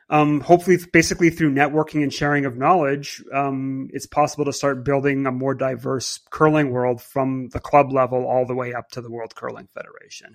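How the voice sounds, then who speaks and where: A man's voice: medium pitch, creaky, a bit bright. A man hosting a podcast, talking thoughtfully in a casual way with many fillers and restarts. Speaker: podcast host. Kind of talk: casual conversational podcast talk.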